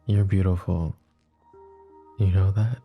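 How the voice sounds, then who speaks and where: A man's voice: deep voice, steady tone, slow pace, breathy edge, narrow, tinny audio, faint music behind, full character voice. A man playing a smitten, self-deprecating mafia boss in an intimate ASMR romance roleplay, murmuring hesitantly and teasingly. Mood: teasing, flirty.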